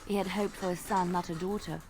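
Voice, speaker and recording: A woman voicing a graceful female bard in a video game, telling tales in a measured, lilting way. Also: fairly low pitch, fairly even intonation, a little mellow, a little hiss or hum, close to an everyday voice, poor audio. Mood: storytelling. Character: graceful female bard, gentle devout storyteller. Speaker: game character. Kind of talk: voiced game dialogue.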